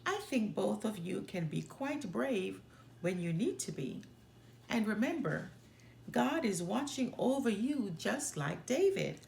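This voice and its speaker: A woman's voice: medium pitch, very animated, measured pace, gravelly, darkish tone, roomy sound, a little hiss or hum. A woman voicing a fond, reassuring father bear in a children's storybook.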